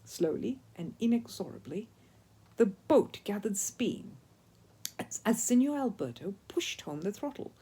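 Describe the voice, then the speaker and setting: A woman reading a children's story aloud as an expressive narrator. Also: mid-range voice, measured pace, slightly rough. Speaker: storyteller, audiobook reader.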